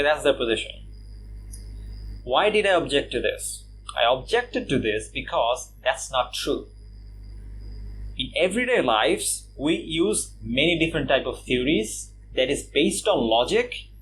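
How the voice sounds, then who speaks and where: A man from Bangladesh giving casual response commentary on a video, mocking his opponent with scoffing laughs and lots of "uh" and "you know" pauses. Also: medium pitch, fairly bright tone, slight background noise, low-quality recording. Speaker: YouTube commentator.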